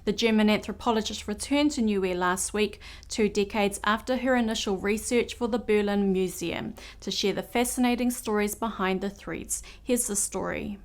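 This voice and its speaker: A woman anchors the news in a clear, measured voice. Her scripted reading is even and unhurried, sitting at a middle pitch for a woman.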